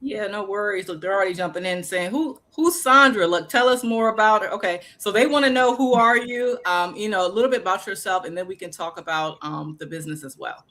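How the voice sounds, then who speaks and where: An enthusiastic interview host who introduces the guest warmly and heaps praise on her. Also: mid-range voice, fairly quick, few pauses. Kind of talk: casual interview hosting.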